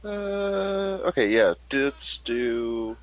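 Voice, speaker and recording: A man running a tabletop game as game master, narrating casually and haltingly as he thinks aloud. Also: medium pitch, expressive.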